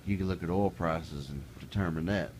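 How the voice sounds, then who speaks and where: A middle-aged man with a deep, low voice talks straight to the camera in a folksy, plainspoken way. He grows heated and exasperated as he goes. A faint hiss sits under the recording. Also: normal speed, darkish tone. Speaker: vlogger. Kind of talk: casual ranting monologue.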